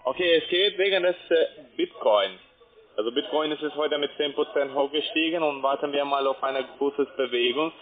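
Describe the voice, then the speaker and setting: Casting: telesales man, non-native German, lightly raised pitch, halting with tag questions, muffled telephone line. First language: not German. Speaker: telephone trading salesman. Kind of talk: unscripted sales phone call. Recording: narrow muffled phone line.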